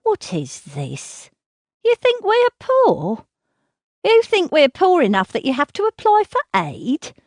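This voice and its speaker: Lady putting on a nasty shrill mom, swings from screaming to wailing, super dry sound. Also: sing-song, a little slow, dry recording. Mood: berating. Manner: shrill, berating, swinging to sobbing pleas. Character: cruel, self-pitying, volatile middle-aged mother.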